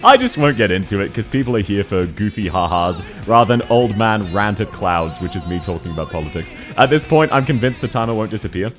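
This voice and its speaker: A man with a thick Australian accent, chatting casually and quickly as a game streamer, laughing often while reading chat aloud. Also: on the low side, faint music behind. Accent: Australian, unusual variety. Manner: fast-talking, laughs often, animated.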